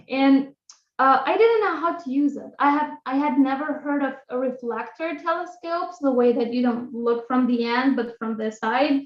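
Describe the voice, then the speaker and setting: A young woman from Kosovo, a native Albanian speaker with an Albanian accent, telling her own story informally as a guest speaker in a talk. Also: sits high. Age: young adult. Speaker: guest speaker, astronomy graduate student. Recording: roomy echo, dull muffled online audio.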